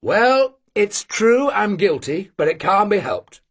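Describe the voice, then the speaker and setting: Man doing a playful young gentleman. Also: high voice, very animated, takes it slowly, voice pushed up, full character voice. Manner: playful, light.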